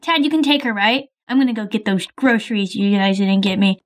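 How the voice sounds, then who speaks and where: A woman's voice: medium pitch, a little fast, lightly acted. A woman playing a fussing, caring mom. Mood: worried.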